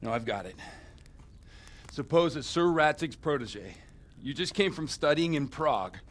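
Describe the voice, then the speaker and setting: A man acts out a scheming village priest nursing a hangover, in video game style. His voice sits fairly high, and he talks in a dramatic, groaning, coarse-mouthed way, panicky and wheedling by nature. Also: animated, moderate pace, lightly acted. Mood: scheming, pleading. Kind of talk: video game cutscene acting.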